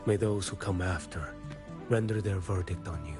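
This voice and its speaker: Man acting ancient male arbiter, very low voice, stately, solemn, ceremonial, video game cutscene, prominent music. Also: moderate pace, natural voice. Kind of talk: scripted video game story dialogue.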